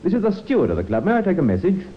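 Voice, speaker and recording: An actor playing a polite, deferential club steward in a vintage radio drama. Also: male voice, on the high side, wildly swooping pitch, racing. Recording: muffled old radio recording with hiss.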